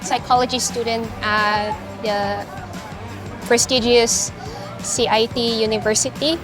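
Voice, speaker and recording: Young woman, Filipino accent, slightly high voice, chatty and giggly, music playing behind. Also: fairly even intonation, measured pace. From Philippines. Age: young adult. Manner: chatty, giggly, searching for words. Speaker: interviewee, psychology student. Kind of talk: casual chat. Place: coffee shop in a mall.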